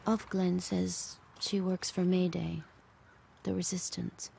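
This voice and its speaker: An actress playing a wary, yearning enslaved handmaid in an audio drama, hushed and hesitant. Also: female voice, on the low side, little pitch movement, slow, vocal fry, noisy background, full character voice. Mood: urgent, hopeful.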